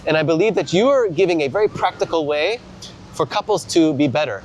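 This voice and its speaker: Guy hosting a faith chat on the street, encouraging, explains slow, faint traffic behind. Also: sits high. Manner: encouraging, explains slowly and deliberately. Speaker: evangelist host. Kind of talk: casual faith conversation. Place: city street outdoors.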